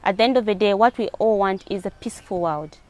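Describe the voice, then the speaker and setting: A woman's voice: mid-range voice, fast, dry recording. A woman with a Zambian accent giving earnest answers as a guest in a spoken interview. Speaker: interview guest, hijab advocate.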